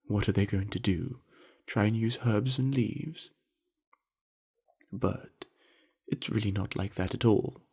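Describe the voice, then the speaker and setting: A man playing a friendly, earnest young orc university jock in an ASMR roleplay, chatting easily in a gravelly, husky voice with musing pauses. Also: on the low side, dark tone, heavily acted. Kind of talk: scripted ASMR roleplay addressed to the listener.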